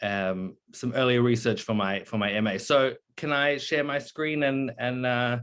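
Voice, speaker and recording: A young man, a PhD candidate, giving a halting presentation on a video call with many fillers. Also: medium pitch, fairly even intonation, a bit bright, smooth. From born in South Africa, raised in New Zealand. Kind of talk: online meeting presentation.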